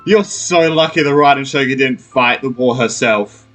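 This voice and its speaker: A man's voice: medium pitch, normal speed, fairly bright tone, soft background music. A man giving casual let's play commentary, reactive and playful, often repeating short phrases. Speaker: gaming video creator.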